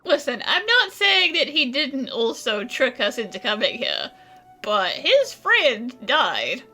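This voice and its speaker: Bright, high-pitched woman gamer. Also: huge pitch swings, takes it slowly. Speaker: video game player. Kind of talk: casual game playthrough commentary. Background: faint game music.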